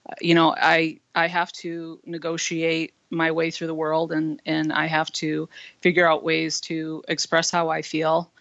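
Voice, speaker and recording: A man with a husky voice, a comedian speaking frankly as an interview guest, with many fillers. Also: on the high side, monotone, a little slow, fairly bright tone, no echo. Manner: earnest, frank, with frequent fillers. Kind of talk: candid interview talk. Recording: dull lo-fi dry line.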